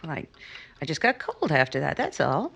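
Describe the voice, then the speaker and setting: A woman playing a proud, teasing, guarded young woman in an old-time radio romance, with a coy, measured delivery. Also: low voice, wildly swooping pitch, gravelly, a bit bright, breathy edge, heavily acted. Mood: guarded, hospitable.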